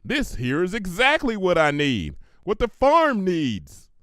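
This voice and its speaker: A man's voice: high voice, huge pitch swings, takes it slowly, pushed and bright, no echo, clean studio sound, voice pushed up, full character voice. A man voicing an earnest, plain-spoken female farm pony. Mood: grateful, relieved. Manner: folksy, emphatic.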